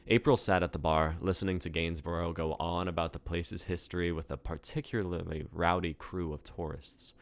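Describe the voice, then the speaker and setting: A man's voice: deep voice, flat delivery, moderate pace, few pauses, no room sound, clean studio sound. A man reading a story aloud as its author, in scripted narration.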